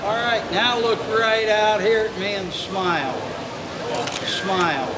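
A man's voice: high voice, slow pace, recorded from a distance. A man working as a group photographer, calling out short repeated instructions in a large lobby. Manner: repetitive, instructing. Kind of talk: calling out directions for a posed photo. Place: large busy museum lobby. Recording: echoey noisy lobby, off from mic.

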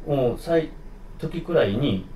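A man's voice: on the high side, lively intonation, slow pace, mellow. A man telling career stories as an interviewed actor, in casual, fragmented conversation. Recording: roomy, distant mic, faint noise.

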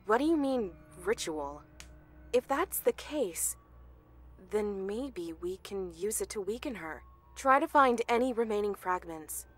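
A woman's voice: mid-range voice, animated, a little slow, fairly bright tone, faint music behind. An actress playing an anxious, urgent woman friend on a phone call in a video game, in hiding and concerned. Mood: questioning, urgent.

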